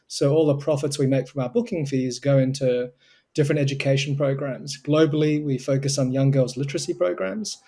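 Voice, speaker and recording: Earnest Australian man, mellow tone. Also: mid-range voice, steady tone, a little fast. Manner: earnest, fluent, explaining with conviction. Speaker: guest, co-founder of a tech charity. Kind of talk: remote interview answers.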